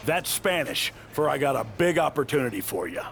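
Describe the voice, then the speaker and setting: Gravelly-voiced wrestling coach. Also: male voice, medium pitch, very animated, fairly quick, a bit bright, faint music behind. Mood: businesslike. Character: wrestling trainer offering a career opportunity. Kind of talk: video game cutscene dialogue.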